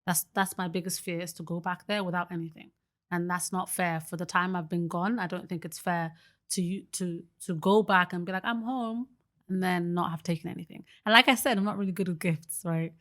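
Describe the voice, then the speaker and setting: Earnest, chatty woman, lowish voice. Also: steady tone, a little fast, a bit bright. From Britain. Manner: chatty and earnest, many fillers like "like" and "you know"; laughs easily. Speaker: guest, early childhood educator. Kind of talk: casual podcast conversation.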